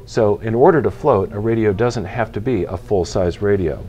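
A man in his fifties talks straight to camera in a big retail store, explaining equipment clearly and practically. His voice sits on the low side and comes through a lapel mic. A faint hum of the shop floor lingers underneath. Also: mellow. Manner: clear, measured, practical explaining with hand gestures. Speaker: retail company spokesperson presenting boating gear. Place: large indoor retail store aisle.

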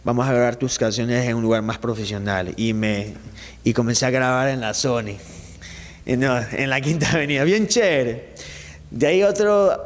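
A young man from Ecuador talks with lively energy in a small studio interview, his voice sitting a little high for a man. He keeps restarting his sentences as he speaks into a handheld mic. A lingering reverb hangs on the sound. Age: young adult. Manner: animated, gestures with his hands, many restarts. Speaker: singer being interviewed. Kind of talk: casual interview chat. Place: small studio set, indoors. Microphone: handheld mic held by the interviewer.

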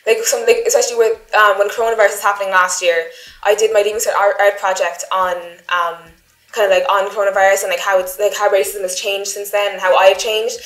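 A woman's voice: mid-range voice, fairly even intonation, fast, a bit bright. A young woman talks through her thoughts, trailing through "like" fillers. Her voice sits mid-height. The studio around her rings a little. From Ireland, County Cork. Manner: reflective, many "like" fillers. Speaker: interviewee. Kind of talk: casual discussion. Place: studio with plain backdrop. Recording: roomy studio echo.

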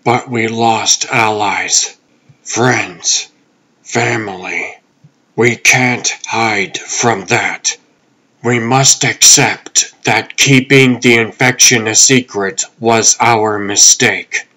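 Man acting a slow, solemn, noble superhero. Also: lowish voice, fairly even intonation, pushed and bright, exaggerated voice. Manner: solemn, measured, earnest. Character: noble, earnest adult male caped superhero. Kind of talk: comic dub voice acting.

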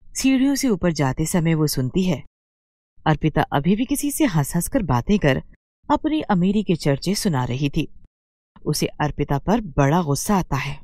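A woman reading scripted narration for an animated moral story. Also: deep voice, normal speed, no room sound, clean studio sound.

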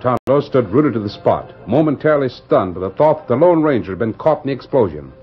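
An actor narrating a western serial as a dramatic storyteller in old-time radio style. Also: male voice, mid-range voice, sing-song, fast, slightly rough. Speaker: announcer-narrator. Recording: dull vintage radio recording. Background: music.

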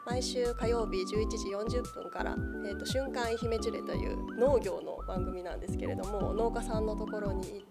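A woman's voice: fairly high pitch, huge pitch swings, normal speed, hardly any pauses. A middle-aged woman, a radio personality, answering interview questions politely and articulately with music behind her. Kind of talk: polite conversational self-introduction and answers. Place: meeting room indoors. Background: loud background music.

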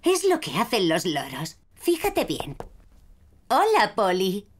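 A woman's voice: medium pitch, huge pitch swings, slow, heavily acted. A woman voicing a kindly, doting old grandmother pig in an exclamatory cartoon dub. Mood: doting, cheerful.

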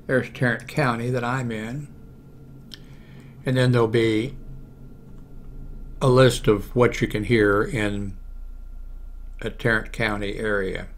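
An American man in his seventies giving a casual, unscripted computer walkthrough, haltingly thinking aloud. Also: medium pitch, steady tone, slight background noise. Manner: halting, thinking aloud while clicking through pages. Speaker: video blogger giving a how-to demonstration. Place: small room at home, indoors. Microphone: large desktop microphone close to the mouth.

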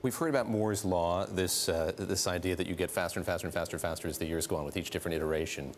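Male anchor, very deep and fast, probing studio questions. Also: faint music behind. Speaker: news anchor. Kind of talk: studio news interview. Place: TV news studio.

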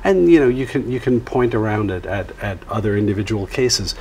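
Halting, slightly deep man over background noise. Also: darkish tone. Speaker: film critic guest. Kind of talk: conversational interview commentary. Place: TV studio.

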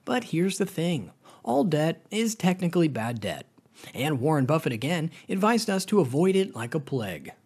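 Husky American male voice-over for a finance explainer; animated delivery, casual, direct to viewer. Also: on the high side, normal speed. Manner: chatty, addresses viewers as guys, lively rises. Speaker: narrator of a finance explainer channel. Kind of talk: scripted voice-over narration.

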